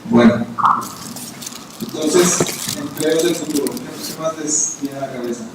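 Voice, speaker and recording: A man's voice: fairly high pitch, slow pace. A man from Mexico giving a conversational lecture as a guest speaker, putting questions to his audience. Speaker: guest speaker, business consultant. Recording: echoey hall, distant mic, background noise.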